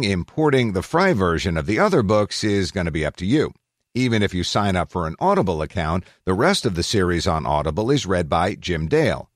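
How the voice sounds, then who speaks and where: Low-voiced man with swooping, lively intonation. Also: no room sound, studio quality. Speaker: news podcast narrator reading an article. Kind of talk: scripted narration of a tech news article.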